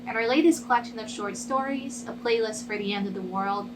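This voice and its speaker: A woman's voice: medium pitch, a little fast. A woman presenting her own short fiction in a literary reading style. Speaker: writer reading own story. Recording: clearly noisy, humming background.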